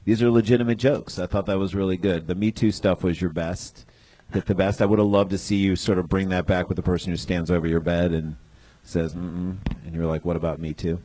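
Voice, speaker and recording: A middle-aged man with a somewhat low voice talks on a comedy panel in a club. He breaks down a comedian's jokes with sincere praise and drops quick wisecracks along the way. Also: fairly even intonation, dark tone, rough recording. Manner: earnest critique mixed with wisecracks. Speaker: guest panelist. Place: comedy club stage with a live audience.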